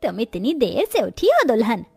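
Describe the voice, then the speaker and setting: Commanding, fair-minded middle-aged matriarch for a moral cartoon, voiced by a woman, high-pitched, matronly. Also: wildly swooping pitch, moderate pace, creaky, darkish tone, breathy edge, no room sound, soft background music, full character voice. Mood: stern. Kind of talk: cartoon moral story voice acting.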